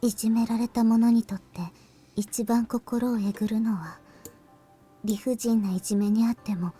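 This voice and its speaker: Actress as a sombre teen schoolgirl, visual novel, soft mellow voice, breathy, hushed measured delivery, fairly high. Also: steady tone, soft background music, lightly acted. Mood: sombre, murmuring. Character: grave, quiet teenage schoolgirl with a sombre streak. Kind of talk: Japanese visual novel voice acting.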